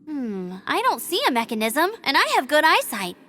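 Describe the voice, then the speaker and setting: A woman's voice: high voice, very animated, moderate pace, bright, forceful tone, a little hiss or hum, heavily acted. An actress playing a spirited, determined young female knight scout in a video game. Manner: eager and determined.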